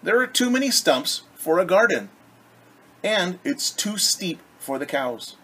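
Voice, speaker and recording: A man's voice: high voice, very animated, unhurried, sharp tone, breathy edge, pitched above the performer's own voice, heavily acted. Man reading as a determined young farm wife. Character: determined young farm wife wanting her own land. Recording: faint background room noise.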